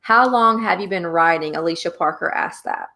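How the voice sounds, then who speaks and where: A woman's voice: lowish voice, normal speed, vocal fry, sharp tone. A woman from Louisiana with a Louisiana accent, hosting a live online author chat in an upbeat, chatty, rambling way on a podcasting microphone. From Louisiana, USA. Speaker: host.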